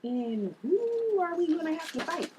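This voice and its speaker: A woman playing a guarded, reluctant sister with superpowers in a table read, in a husky voice. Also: very high voice, sing-song, unhurried, darkish tone, slight background noise, full character voice. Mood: suspicious.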